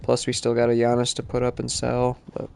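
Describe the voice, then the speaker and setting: A man's voice: medium pitch, completely flat delivery, brisk, creaky, darkish tone, soft background music. A male streamer chatting casually while playing, sounding congested from allergies and rambling with lots of fillers. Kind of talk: live-stream gaming chat.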